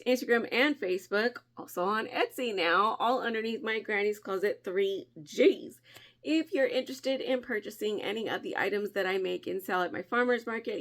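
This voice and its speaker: A woman's voice: medium pitch, fairly bright tone. A woman hosting a crafting vlog in casual chat, stuffy and nasal from a cold, talking from her kitchen at home. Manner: chatty, friendly, often laughing. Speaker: crafting channel host.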